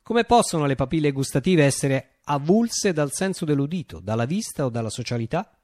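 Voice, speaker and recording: Man performing a visionary revolutionary leader, theatrical radio monologue, grandiose and poetic, very varied pitch, dry close sound. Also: lively intonation, darkish tone, no room sound, natural voice. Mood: ironic, proclaiming. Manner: declamatory, with deliberate dramatic pauses.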